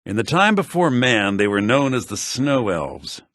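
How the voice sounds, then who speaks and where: A male actor playing a refined, earnest scholar-mage in a video game, speaking in an articulate, formal, measured way. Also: medium pitch, big pitch swings, fairly bright tone, dry recording, clean studio sound. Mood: grave, explaining. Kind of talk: video game character dialogue.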